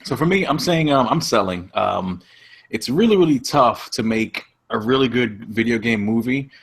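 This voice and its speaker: A man's voice: mid-range voice, lively intonation, moderate pace, creaky, husky. A man on a podcast panel, talking casually and reasoning his opinion out as he goes.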